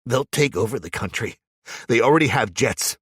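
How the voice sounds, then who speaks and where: A male narrator playing a scared young gang follower, pleading and shaken. His voice is husky and climbs sharply with fear. The reading is dry and close. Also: medium pitch, expressive, quick pace, fairly bright tone, dry recording, pitched above the performer's own voice. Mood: frightened, pleading. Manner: frightened, begging.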